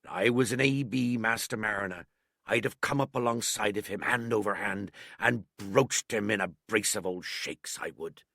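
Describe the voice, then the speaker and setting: A man's voice: moderate pace, gravelly, a bit bright, no room sound, studio quality. A man voicing a hearty old one-legged male cook. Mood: confiding. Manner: blustering, booming sea-dog bluster.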